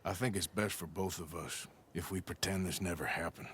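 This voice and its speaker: An actor playing a gruff American outlaw cowboy in a Western game, with a dry, folksy Southern drawl. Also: male voice, deep voice, fairly even intonation, brisk, a bit bright, a little hiss or hum. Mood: quietly menacing. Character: gruff outlaw cowboy, wry and quietly menacing. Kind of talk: Western video game acting.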